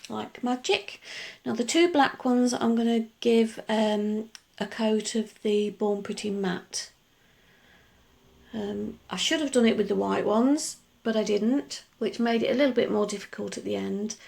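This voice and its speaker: A middle-aged woman talking through a nail art tutorial in a chatty, rambling way, her voice gruff from just waking up. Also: medium pitch, a little slow, a little mellow. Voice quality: gruff, gravelly. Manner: chatty, rambling explanations with many asides. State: just woken up. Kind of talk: casual tutorial voice-over.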